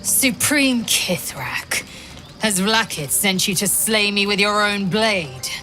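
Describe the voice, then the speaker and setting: A woman's voice: mid-range voice, expressive, takes it slowly, sharp tone, faint music behind. A woman playing a proud, fierce githyanki warrior in a fantasy game, haughty, blunt and formal in her clipped speech. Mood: hostile, indignant. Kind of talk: dramatic fantasy game acting.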